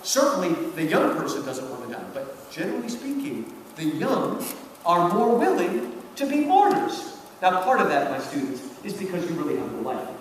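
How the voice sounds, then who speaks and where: A man's voice: fairly high pitch, huge pitch swings, moderate pace. A man in his fifties giving an emphatic public lecture, putting questions to his listeners and checking that they follow. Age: 50s. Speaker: lecturer, professor. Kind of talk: animated public lecture commentary. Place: large indoor hall. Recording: echoey hall, distant mic, background noise.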